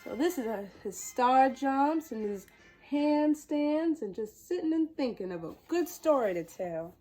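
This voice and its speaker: Storytime host, female: high, singsong range, unhurried pace, playful picture-book reading. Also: lively intonation, warm tone, faint music behind. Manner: playful, exclamatory. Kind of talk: expressive children's picture-book reading with chatty asides.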